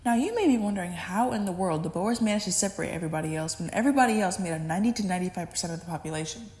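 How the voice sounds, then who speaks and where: A woman narrates from an educational script at a quick pace. Her voice is slightly low, and the room adds a long, echoing reverb. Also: lively intonation, a little mellow.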